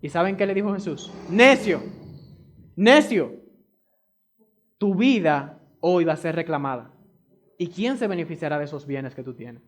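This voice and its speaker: A man from the Republic, preaching a sermon and pressing his points by repeating them straight to the congregation. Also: sits high. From Dominican Republic. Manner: emphatic, repeats key phrases; addresses the congregation directly.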